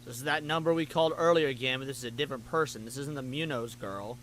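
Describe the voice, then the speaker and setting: A man's voice: mid-range voice, quick pace, a bit bright, a little hiss or hum, poor audio. A man hosting a live stream, chatting casually and reading text aloud, stumbling and restarting now and then. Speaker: live stream host reacting to videos. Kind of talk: casual reaction commentary.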